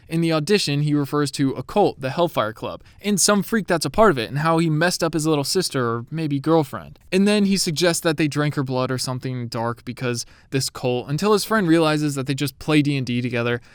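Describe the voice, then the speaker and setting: A man's voice: a little high, clear, resonant tone, dry recording, studio quality. A young man, a bit sniffly, giving casual, eager commentary to his viewers as a YouTube creator. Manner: chatty and eager.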